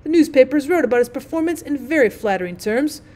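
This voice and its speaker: Pompous male storybook rocket, played by a woman: high, haughty, self-important, easily affronted, declaiming grandly. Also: expressive, fast, darkish tone. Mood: boastful.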